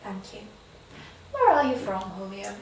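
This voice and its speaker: A woman conducting a spoken English exam as the interviewer, giving clear, measured prompts. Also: mid-range voice, sing-song, roomy sound, distant mic, background noise.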